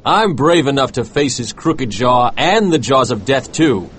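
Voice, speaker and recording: A man's voice: mid-range voice, lively intonation, measured pace, a bit bright, slight background noise. A man voicing a grim, cautious, practical ship's mate in an audiobook, speaking earnestly and in protest. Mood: defiant. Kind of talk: dramatized audiobook character voice.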